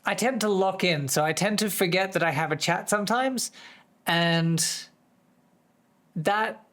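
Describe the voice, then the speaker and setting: A streamer with a voice that is going hoarse, chatting casually to viewers in a distracted, rambling way. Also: medium pitch, unhurried, a bit bright. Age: adult, working full-time. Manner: easily distracted, rambling with many restarts. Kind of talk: casual live-stream chat.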